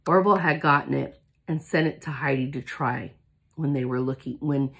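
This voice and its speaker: A woman in her forties who has lost her voice, talking hoarsely with a cough in a chatty, gushing unboxing vlog, seated in a small room in front of a phone camera. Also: rumbling low, normal speed, a bit bright. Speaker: independent product consultant. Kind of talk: casual product unboxing vlog. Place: small indoor room with shelves, seated at a table.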